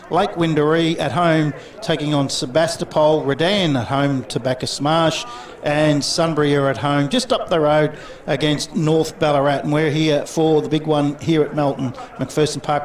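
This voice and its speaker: A man with an Australian accent leading sports commentary, brisk and fluent as he reels off names, fixtures and scores. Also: on the high side, fairly even intonation. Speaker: lead commentator. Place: outdoor football ground. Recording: outdoor commentary with clear crowd.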